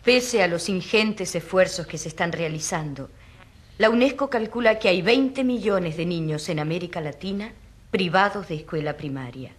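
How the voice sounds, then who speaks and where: An actress as a low-voiced, formal rural schoolteacher. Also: female voice, moderate pace, natural voice. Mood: earnest, formal. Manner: formal, precise. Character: serious rural schoolteacher testifying, earnest and formal. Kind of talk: courtroom radio drama acting.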